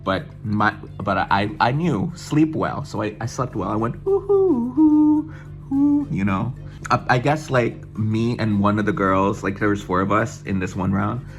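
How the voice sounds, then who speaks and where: A young man from the United States telling an excited, swearing storytime vlog and mimicking other people's voices as he goes. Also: lowish voice, measured pace, faint music behind. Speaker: vlogger. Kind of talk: casual storytime chat.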